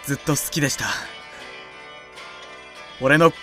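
A man playing a sincere high school boy in an audio drama, heard slightly away from the mic. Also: fairly even intonation, a little slow, a bit bright, recorded from a distance, faint music behind. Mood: earnest. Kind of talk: anime-like Japanese audio drama acting.

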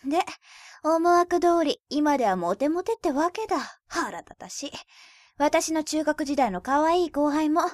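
An actress playing a blunt, sardonic high-school girl who teases her friends in a youth romantic-comedy voice drama. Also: expressive, measured pace, dry recording, studio quality. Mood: dry teasing. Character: sharp-tongued teasing high-school girl, older friend.

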